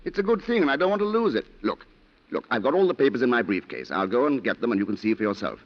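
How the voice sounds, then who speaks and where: Eager trusting husband in old radio play, stammery, talks fast, swoopy pitch, muffled sound. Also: male voice. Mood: insistent. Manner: excitable, stammering, repeats his words. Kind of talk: 1940s radio drama acting. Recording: muffled 1940s radio broadcast.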